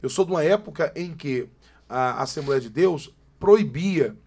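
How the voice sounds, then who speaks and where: A man's voice: a little high, animated, measured pace, darkish tone, slightly rough. Emphatic São pastor in his forties. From Brazil, Greater São Paulo. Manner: emphatic, preacherly repetition. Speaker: pastor, podcast guest. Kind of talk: casual podcast chat.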